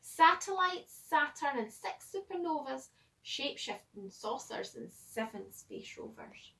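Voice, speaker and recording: A woman reading a children's picture book aloud like a teacher, expressively, with dramatic pauses and emphasis. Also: high voice, very animated, gravelly, a bit bright, far from the mic. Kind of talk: animated children's storybook read-aloud.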